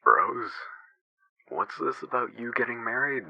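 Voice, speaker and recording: A man playing a gruff but caring father with a deep voice and a curt manner, in amateur audio fiction. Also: expressive, a little slow, sharp tone, no echo, dull audio, exaggerated voice. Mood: stern. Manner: curt, straight to the point. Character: gruff, terse, caring father of a grown daughter.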